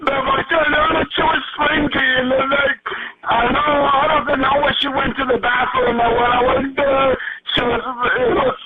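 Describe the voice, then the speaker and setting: A man's voice: extremely high pitch, flat delivery, normal speed. A frantic man in his twenties making a panicked, repetitive, sweary emergency phone call. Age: 20s. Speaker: emergency caller. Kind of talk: 911 call. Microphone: telephone. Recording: lo-fi phone call under loud music.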